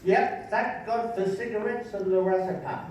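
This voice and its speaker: A man's voice: high-pitched, steady tone, normal speed, close to an everyday voice. An older man reading a grumpy, cynical, depressed psychiatric patient in a stage play, sour and sarcastic, in a very echoey, distant recording with faint noise. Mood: bitter grumbling. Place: large echoey room, mic at a distance.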